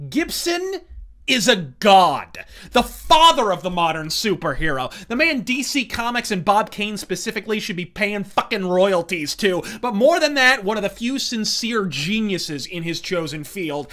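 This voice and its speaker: A man's voice: sits high, a bit bright. A man hosting a scripted comedic commentary, delivering emphatic, profane, wordy rants as a reviewer. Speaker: host and reviewer.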